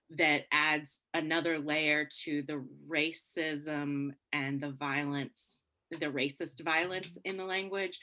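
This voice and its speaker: A woman working in theatre answers interview questions reflectively, in a deliberate, halting way with pauses mid-phrase. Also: deep voice, pushed and bright. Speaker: theatre artist being interviewed.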